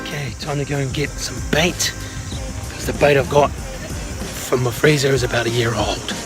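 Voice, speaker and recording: A man with a New Zealand accent and a hoarse voice from a sore throat, chatting casually and self-deprecatingly in a fishing vlog. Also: mid-range voice, normal speed. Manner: laid-back, self-deprecating. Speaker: vlogger. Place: outdoors on a small boat. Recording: loud music over the voice.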